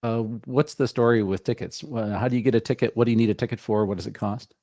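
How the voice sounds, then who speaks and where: A man's voice: medium pitch, fairly even intonation, fast talker, dark tone, dry recording, studio quality. A man hosting an interview, asking questions in a casual, conversational way. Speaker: interviewer, show host.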